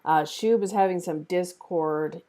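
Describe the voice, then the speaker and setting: A woman hosts an informal online feedback chat. Her voice is on the low side for a woman and very relaxed and warm in tone, with plain conversational delivery. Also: unhurried. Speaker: table read moderator. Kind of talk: casual chat, relaying viewer comments.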